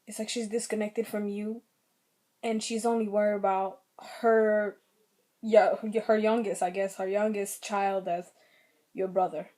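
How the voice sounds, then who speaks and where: A young woman with a slightly raspy throat, giving earnest, rambling commentary on her own vlog channel. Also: medium pitch, flat delivery, unhurried, mellow. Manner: earnest, rambling, many fillers. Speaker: vlogger.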